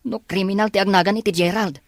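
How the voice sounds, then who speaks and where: A woman's voice: mid-range voice, fairly even intonation, quick pace, no room sound, lightly acted. A woman playing an earnest, persistent young male student and devoted son in an audio drama. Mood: puzzled.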